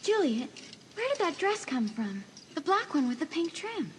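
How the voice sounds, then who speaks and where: A woman's voice: extremely high pitch, big pitch swings, moderate pace, a little hiss or hum. A woman voicing a worried teenage girl who talks in urgent, questioning bursts in an audio drama.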